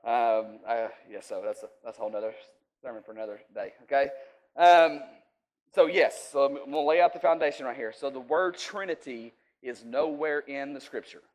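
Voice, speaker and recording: A man's voice: mid-range voice, fairly even intonation, fairly quick, poor audio. A man preaching an informal sermon in a folksy, conversational way, checking in with his listeners often. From rural US.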